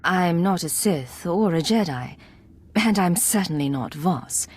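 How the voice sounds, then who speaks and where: An actress playing a composed, resolute secret agent in a video game, speaking in a measured, direct way. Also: female voice, fairly low pitch, expressive, darkish tone, breathy edge. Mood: composed, assertive. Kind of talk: dramatic video game acting.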